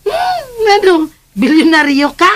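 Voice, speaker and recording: High-pitched nagging mother. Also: huge pitch swings, slow, exaggerated voice. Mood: mocking. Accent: Filipino. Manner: scolding, sardonic. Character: nagging, sharp-tongued, gossipy mother of a grown son. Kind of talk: radio drama acting.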